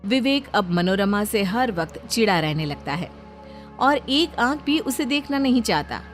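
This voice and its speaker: A woman's voice: medium pitch, expressive, a little slow, soft background music. A woman narrator reading a scripted moral story.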